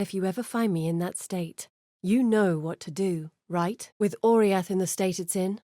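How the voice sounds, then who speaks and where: An actress playing a grave, haunted yet wry woman who guides exiles in a fantasy game, speaking in a measured, earnest, dramatic way. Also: on the low side, dark tone, dry recording, studio quality. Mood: grim, sorrowful. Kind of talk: scripted fantasy game voice acting.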